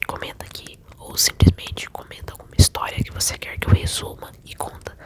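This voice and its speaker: Whispering Brazilian woman, ASMR storyteller. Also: fairly quick. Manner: soft, intimate, soothing delivery. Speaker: ASMR video creator telling game stories.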